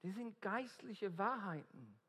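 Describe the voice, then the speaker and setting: Australian preacher in an echoing hall. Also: male voice, high voice, very animated, takes it slowly, sharp tone, breathy edge. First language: English. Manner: earnest, emphatic, searching for words in German. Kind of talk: evangelistic sermon. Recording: long reverb of a hall.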